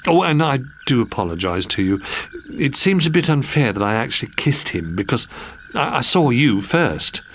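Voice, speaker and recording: A man's voice: mid-range voice, very animated, normal speed, fairly bright tone, a little hiss or hum. A man voicing a sweet, dim-witted, eager young princess in a gushing storybook manner. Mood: apologetic. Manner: gushing, breathy squeals.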